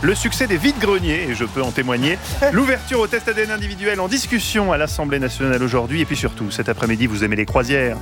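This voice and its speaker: Un homme anime une émission de radio en direct. Il annonce le programme de façon rapide et enjouée. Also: male voice, a little high, music in the background. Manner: brisk, lively announcer. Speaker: radio show host. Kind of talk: live radio presenting.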